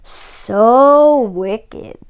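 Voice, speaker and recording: A woman voicing a sly, greedy male wolf for a storybook, with suave, wheedling, genteel manners and drawn-out words. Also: fairly high pitch, clean tone. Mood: gloating. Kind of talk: storybook read-aloud character voice.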